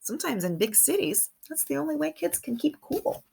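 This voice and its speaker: A woman kindergarten teacher reading a picture book aloud to young children in an expressive way, with simple asides and questions. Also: high-pitched, creaky. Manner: animated, simple wording, pauses to comment and question.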